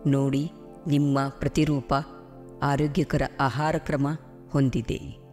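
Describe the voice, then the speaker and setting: A man guiding a visualization meditation in a slow, soothing way with deliberate pauses. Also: medium pitch, flat delivery, darkish tone. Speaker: meditation guide. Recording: music behind the voice. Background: clear background music.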